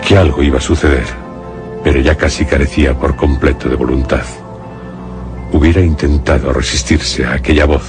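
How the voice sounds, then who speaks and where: A man narrating a suspense radio drama in a deliberate, solemn, dramatic way. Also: a bass voice. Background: faint music.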